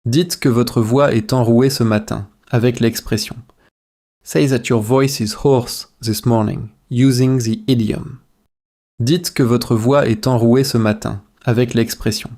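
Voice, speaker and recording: A man reading language drills in a measured, repetitive way, phrase by phrase, as a teacher for shadowing practice. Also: medium pitch, fairly even intonation, warm tone.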